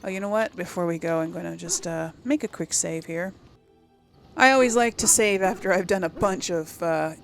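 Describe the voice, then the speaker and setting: A woman's voice: on the low side, normal speed, gravelly, faint music behind. A woman giving casual let's play commentary, chatting to viewers and thinking aloud as she goes. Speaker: gaming channel host.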